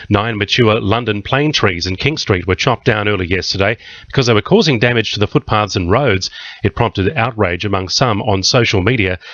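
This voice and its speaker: Low-pitched Australian male newsreader, dry sound. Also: fairly bright tone, no echo, muffled recording. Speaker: radio news reader. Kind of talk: scripted news bulletin reading.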